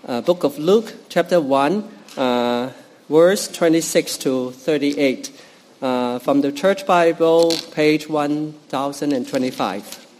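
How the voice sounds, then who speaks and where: A man whose first language is Cantonese, reading a Bible passage aloud in church, halting and careful, with fillers and restarts. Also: fairly high pitch, darkish tone, slight background noise. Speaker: scripture reader.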